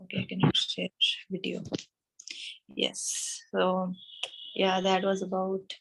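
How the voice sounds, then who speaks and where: Slow-paced Indian-accented woman teacher. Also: mid-range voice, monotone, creaky, low-quality recording. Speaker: anatomy teacher at a yoga school. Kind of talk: informal online lesson. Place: small room with a whiteboard, indoors. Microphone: device camera a short distance away, video call.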